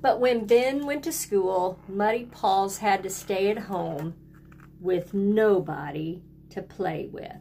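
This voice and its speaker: A woman's voice: medium pitch, dark tone, slight background noise. A woman reading a children's picture book aloud like a teacher, speaking simply and prompting young listeners to join in. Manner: simple, deliberate phrasing, prompting children to repeat words.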